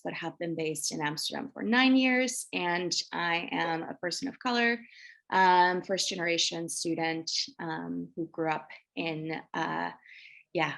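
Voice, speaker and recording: Gravelly woman, hesitant introduction. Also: lowish voice, a bit bright. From United States, Los Angeles. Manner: hesitant, with many fillers. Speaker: student affairs specialist. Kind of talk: introducing herself on a panel.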